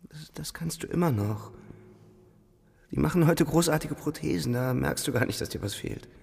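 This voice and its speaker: A man's voice: a little high, animated, moderate pace, vocal fry, darkish tone, slightly rough, no room sound, exaggerated voice. An actor playing a weary, grieving young German soldier narrating his war in a radio drama, subdued in manner. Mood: reassuring, hushed.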